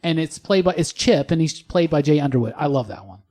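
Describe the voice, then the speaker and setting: A man's voice: on the high side, big pitch swings, brisk, a little mellow. A man co-hosting a podcast in casual pop culture chat, talking with a fan's enthusiasm and emphatic words. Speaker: podcast co-host.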